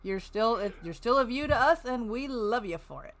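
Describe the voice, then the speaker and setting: A woman's voice: fairly high pitch, animated, normal speed, fairly bright tone. A woman hosting an old-time radio listening stream, chatting casually and sometimes reading out show notes.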